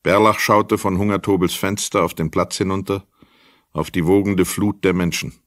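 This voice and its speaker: Male narrator for crime radio play: low voice, close dry sound, literary storytelling. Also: fairly even intonation, moderate pace, no echo.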